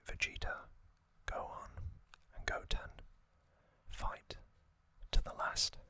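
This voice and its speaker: Guy doing whispered asmr storytelling, really slow, crisp bright hiss to the voice. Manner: soft, unhurried, halting delivery in short phrases. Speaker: ASMR host.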